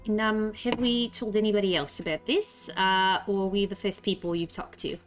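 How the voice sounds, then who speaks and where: A woman's voice: mid-range voice, steady tone, normal speed, faint music behind. A woman with a stuffy, congested voice playing a tabletop game, talking in a hesitant, giggly way. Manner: hesitant, lots of fillers, giggly. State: congested from a cold. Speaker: player. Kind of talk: casual tabletop roleplay chat.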